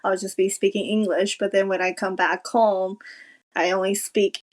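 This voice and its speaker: A woman with a mid-pitched, everyday voice, co-hosting a podcast. She talks in a measured, thoughtful way, pausing to pick her words as she shares her view. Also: level tone. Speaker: podcast co-host. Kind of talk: casual chat.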